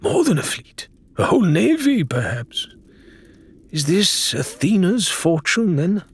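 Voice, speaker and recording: Good-humoured male pirate, high-pitched, lilting, emphatic, florid storybook character. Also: huge pitch swings, measured pace, slightly rough, heavily acted. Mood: wondering, uneasy. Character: exuberant, good-humoured, cautious male pirate who loves taverns. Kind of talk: audiobook character voice.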